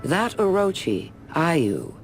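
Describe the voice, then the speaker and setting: An actor playing a grave, watchful war chronicler, narrating a video game story in a measured, serious manner. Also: male voice, a little high, wildly swooping pitch, soft background music, full character voice.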